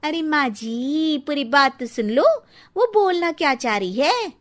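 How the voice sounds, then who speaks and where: A woman voicing a young, modern Indian daughter-in-law in a Hindi cartoon story, pleading and quick to protest. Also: high-pitched, animated, fairly bright tone. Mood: coaxing.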